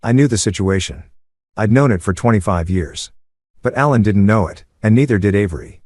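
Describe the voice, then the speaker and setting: A man narrating a first-person story in a steady, measured way. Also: on the low side, dry recording, clean studio sound.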